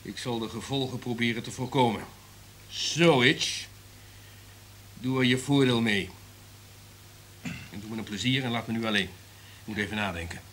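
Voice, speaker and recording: An actor playing a ruthless, commanding radio station director in a radio drama, imperious and authoritative. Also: male voice, medium pitch, moderate pace, long pauses, fairly bright tone, slight background noise, natural voice. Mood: cold, calculating.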